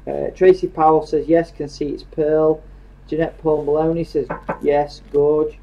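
A man's voice: on the high side, flat delivery, a little slow. British guy reading out chat on a livestream, offhand n teasing, bit of a muffled lo-fi sound. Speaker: co-host reading out viewer comments. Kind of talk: casual live stream chat. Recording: dull lo-fi sound.

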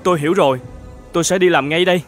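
An actor playing a kind, humble older shepherd in an animated folk tale, speaking politely and gently. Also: male voice, fairly high pitch, racing, soft background music, lightly acted. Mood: frightened, pleading. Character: kind, humble older shepherd, hospitable, fearful under threat.

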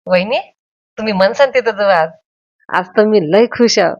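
A woman acting as an eager, delighted young man in a comedy cartoon. The voice is mid-pitched for a woman, in a dull, dry recording. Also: moderate pace, no room sound, natural voice. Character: Pappu, eager, delighted young brother-in-law. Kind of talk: homemade comedy cartoon dialogue.

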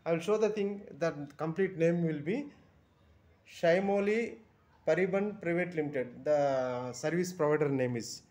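A man with an Indian English accent talks through a casual review on a phone camera, pausing often with fillers and restarts. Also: fairly high pitch, a little mellow. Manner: halting, many fillers and restarts. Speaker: vlogger reviewing a bus trip. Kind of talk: casual product review vlog. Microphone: phone camera held over items.

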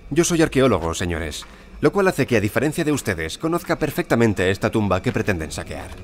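A male actor playing a self-assured, courteous gentleman archaeologist in an audio drama, formal and precise. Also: lowish voice, very animated, a little fast, a little hiss or hum. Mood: coolly commanding. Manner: formal, polite, unruffled, precise. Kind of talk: audio drama acting and first-person narration. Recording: music behind the voice.

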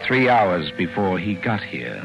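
An actor playing a composed, grave doctor in 1940s radio drama, explaining in a measured, hesitant way. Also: male voice, low voice, big pitch swings, vocal fry, heavily acted. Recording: muffled 1940s radio recording with music.

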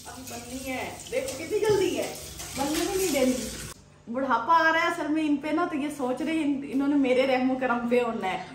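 A woman's voice: high-pitched, brisk. A woman, chatting casually and teasingly at home. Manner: teasing, bantering. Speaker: vlogger's wife, show regular. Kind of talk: casual chat. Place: tiled home kitchen and hall, indoors. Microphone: phone camera a short way off. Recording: echoey room, faint background noise.

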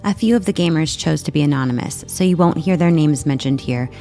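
Low-voiced earnest woman host. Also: fairly quick, mellow. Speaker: podcast host. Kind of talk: scripted narration. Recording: music bed underneath.

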